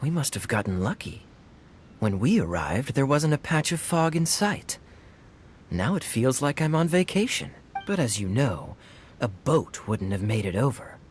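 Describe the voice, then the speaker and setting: A man's voice: medium pitch, sing-song, unhurried, slight background noise. A man playing a suave, smug young knight in a video game, easygoing, playful and insinuating in manner. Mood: sly. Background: background music.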